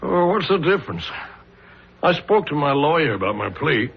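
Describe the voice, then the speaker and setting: An actor playing a despairing, weary married man accused of murder, in halting, emotional 1970s radio drama style. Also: on the high side, sing-song, gravelly, slightly rough, full character voice. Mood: wary, irritated. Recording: dull lo-fi radio drama recording.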